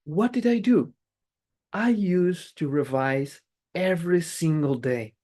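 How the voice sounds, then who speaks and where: Lively motivational speaker. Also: mid-range voice, takes it slowly. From Angola. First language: Portuguese. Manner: emphatic, repeats key phrases, restarts words. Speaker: club speech-giver. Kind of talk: prepared motivational speech.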